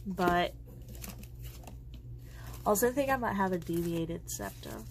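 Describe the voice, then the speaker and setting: A woman's voice: on the low side, expressive, slow, a little hiss or hum. A congested woman chatting casually and rambling as she crafts at home, her thoughts often trailing off. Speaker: planner vlogger. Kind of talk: casual chat while crafting.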